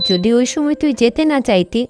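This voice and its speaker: Casting: actress for cartoon village mother, high-pitched and animated, sharp-tongued, fretful, emphatic. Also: a little fast, soft background music, lightly acted. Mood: angry scolding. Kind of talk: cartoon voice acting.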